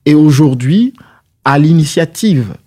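This is a man with a husky, slightly high voice, speaking as a guest in a political interview. He lays out his points in a structured, didactic way, using rhetorical questions. The tone of the voice is soft-edged and mellow. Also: animated, measured pace. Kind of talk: measured political interview answers.

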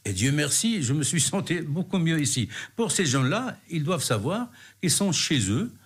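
A man's voice: a little high, big pitch swings, moderate pace, husky. A middle-aged man from Morocco, answering interview questions in a hesitant way, with many fillers and restarts. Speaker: guest.

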